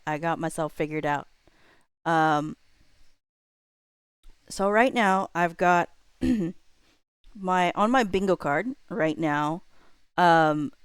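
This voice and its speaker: Woman, lowish pitch, smooth clear tone, casual livestreamer, laid-back slangy delivery, thinking aloud, close dry mic. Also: no echo. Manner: informal, laid-back, slangy, muttering to self while working. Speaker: livestreamer hosting a chatbot roleplay stream.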